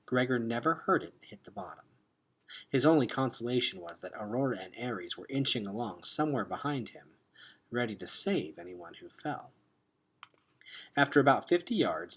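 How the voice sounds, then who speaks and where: A man reading a children's adventure novel aloud like a teacher, expressive and dropping into hushed tones for suspense. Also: medium pitch, husky. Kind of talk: dramatic read-aloud narration of a children's novel.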